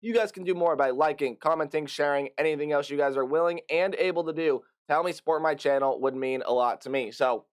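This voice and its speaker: Hoarse, chatty football preview host. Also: male voice, fairly high pitch, quick pace, few pauses. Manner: chatty, upbeat, rattles off player names and stats. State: hoarse, getting over an illness. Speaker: sports prediction channel host. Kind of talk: casual college football preview.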